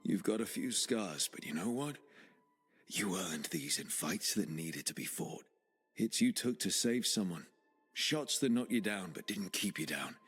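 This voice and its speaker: An actor playing a reflective, earnest young male adventurer in a video game cutscene, musing aloud with quiet determination. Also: deep voice, lively intonation, a little slow, husky, soft background music, full character voice. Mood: resolute.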